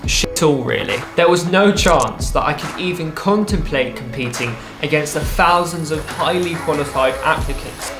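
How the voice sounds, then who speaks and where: A young man narrating a personal story in a vlog, lively and wry, with playful asides. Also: a little high, echoey room. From England. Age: young adult. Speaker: vlogger. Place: small room with a desk lamp, indoors.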